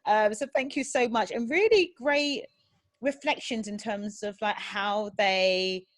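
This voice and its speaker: A woman's voice: medium pitch, unhurried. A woman hosting an informal interview in a chatty, effusive and playful way. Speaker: podcast host.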